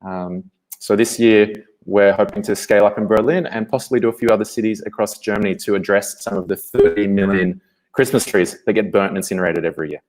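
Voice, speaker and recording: A man's voice: on the low side, steady tone, fairly quick, darkish tone, low-quality recording. A startup co-founder introducing his project at a panel, hesitant with many fillers.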